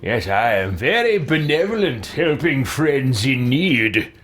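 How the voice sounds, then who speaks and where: A man's voice: medium pitch, very animated, slow pace, fairly bright tone. A man doing in-character commentary as a gaming role-player, in a smug, theatrical way. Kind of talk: in-character let's play commentary.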